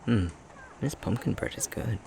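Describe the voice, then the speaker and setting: A man playing an affectionate, self-deprecating young fox-shifter boyfriend in an intimate ASMR roleplay, speaking softly and hesitantly, often half-whispered. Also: lowish voice, moderate pace, gravelly, a little hiss or hum. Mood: cozy, caring.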